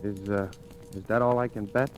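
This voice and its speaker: An actor playing a nervous, meek clerk, hesitant and slangy, in a 1940s radio drama. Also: male voice, lowish voice, steady tone, moderate pace, natural voice, low-quality recording. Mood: eager, bluffing. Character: nervous, meek male office clerk gambling desperately. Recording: old radio recording with music.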